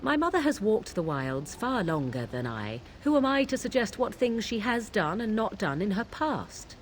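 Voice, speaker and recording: A woman voicing a proud, aloof young witch of the wilds in a fantasy game, speaking in archaic, measured, formal phrases. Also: medium pitch, big pitch swings, slight background noise, close to an everyday voice. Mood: guarded, musing. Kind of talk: fantasy video game dialogue.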